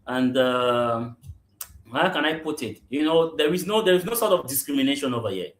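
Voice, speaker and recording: A man's voice: mid-range voice, expressive, normal speed, a little hiss or hum. Man from Cameroon, echoey room. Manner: repeats "you understand?" and restarts often. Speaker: guest, real estate general manager. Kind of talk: conversational interview answers. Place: remote call into a live podcast. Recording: roomy echo.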